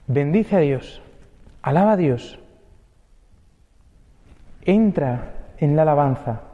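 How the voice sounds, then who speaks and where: High-voiced preacher in a reverberant church. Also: male voice. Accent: Spain Spanish. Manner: conversational preaching with rhetorical questions and tag words. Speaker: priest. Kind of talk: homily at Mass. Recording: dull, lo-fi audio with long church reverb.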